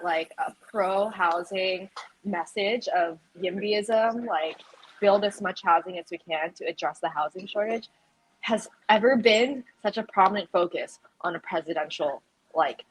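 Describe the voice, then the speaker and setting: A woman with an average-pitched voice chats as a podcast guest. She is informal and enthusiastic, dropping "like" often as she explains things in a casual conversational way. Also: a little slow, a little hiss or hum, rough recording. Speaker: podcast guest, political campaign volunteer leader. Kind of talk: casual chat.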